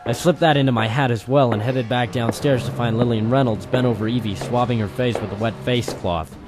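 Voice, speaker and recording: A man's voice: mid-range voice, steady tone, fairly quick, close to an everyday voice. A man playing a weary, wry private detective, narrating and talking tersely in a noir radio drama. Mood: wry, tense narration. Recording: music playing behind the voice.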